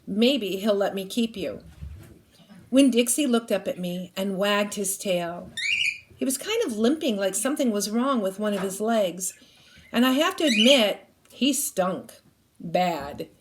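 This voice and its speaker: A woman's voice: medium pitch, animated, a little slow, background noise. A woman reading a children's novel aloud expressively, like a teacher reading to a class.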